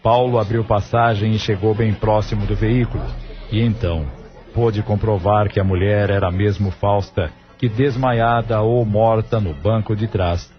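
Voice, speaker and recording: Low-pitched male narrator over music. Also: fairly even intonation, normal speed, dull audio. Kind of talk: scripted audio novela narration.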